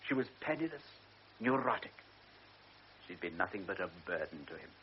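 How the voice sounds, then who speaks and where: An actor playing a frail, anxious elderly baron who is a devoted father. He speaks earnestly, stammers and drops into hushed tones. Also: low voice, very animated, normal speed. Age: older. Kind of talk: classic radio drama acting. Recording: lo-fi radio recording with faint hiss.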